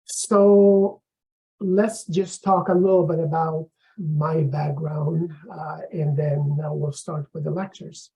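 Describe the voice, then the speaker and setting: Gravelly-voiced man giving a lecture. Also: fairly high pitch, fairly even intonation, dark tone, low-quality recording. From Afghanistan. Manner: measured, explanatory lecturing. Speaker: professor. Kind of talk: course introduction lecture.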